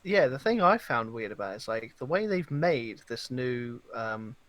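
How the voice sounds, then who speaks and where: A man in a casual podcast chat, talking in a jokey, slightly halting way, close on the microphone. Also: mid-range voice, very animated, a bit bright. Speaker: podcast co-host. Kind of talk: casual chat about films and games.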